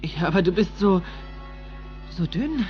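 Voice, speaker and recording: A man playing a cheeky, bewildered teenage boy in dubbed film dialogue, expressive and incredulous. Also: high-pitched, a little slow, muffled recording, music in the background.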